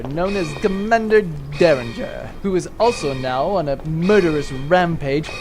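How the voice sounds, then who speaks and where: A man's voice: fairly high pitch, close to an everyday voice. A man playing a scheming, dry-witted space villain in a comedic audio drama, long-winded and sardonic, with music underneath.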